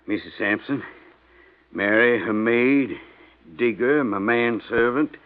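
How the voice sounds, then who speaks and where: A man's voice: mid-range voice, slow pace, lightly acted. An actor playing a proud, irascible, fat older Southern rich man in a 1950s radio drama, folksy and commanding, with an American Southern accent. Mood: businesslike, folksy. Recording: muffled vintage radio drama recording.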